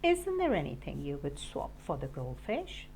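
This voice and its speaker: A woman's voice: low-pitched, wildly swooping pitch, moderate pace, creaky, a little mellow, slight background noise, voice pushed down, full character voice. Woman playing an eager, cheeky little schemer boy. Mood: eager bargaining.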